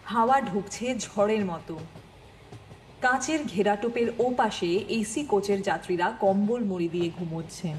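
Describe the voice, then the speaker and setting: A woman's voice: medium pitch, slight background noise. A woman reading a scripted story as a dramatic audiobook narrator.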